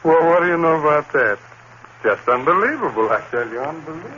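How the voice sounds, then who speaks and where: A man's voice: a little high, lively intonation, fast, sharp tone. An actor playing a skeptical, bantering friend in a 1950s radio drama, breezy and incredulous in his talk. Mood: incredulous, teasing. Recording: dull, noisy old radio recording.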